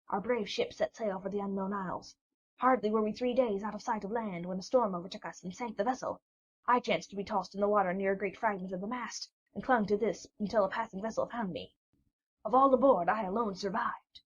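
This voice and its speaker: A woman voicing a kind older fisherman who tells a sad tale gently in a storybook reading. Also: mid-range voice, brisk, a little hiss or hum, natural voice. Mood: mournful. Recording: dull lo-fi recording.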